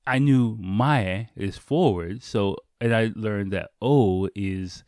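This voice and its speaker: A man's voice: fairly low pitch, slow pace, darkish tone, dry recording, studio quality. A man hosting a podcast solo, talking in an informal, conversational way with casual swearing. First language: English. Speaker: podcast host.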